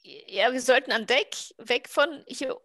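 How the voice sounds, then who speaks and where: Higher-voiced woman in tabletop roleplay. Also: unhurried, pushed and bright. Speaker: roleplay player. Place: room at home.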